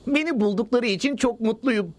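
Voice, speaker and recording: A woman's voice: on the high side, brisk, close to an everyday voice. A woman voicing a naive, whiny little dog in a dubbed cartoon, guileless in manner, with music behind. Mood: naive cheer. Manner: guileless, whining.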